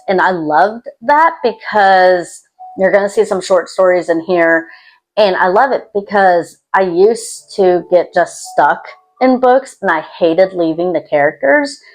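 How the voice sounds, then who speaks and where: Low-voiced woman chatting casually to camera. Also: poor audio. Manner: introverted, self-deprecating, rambling. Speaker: YouTube host. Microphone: external microphone.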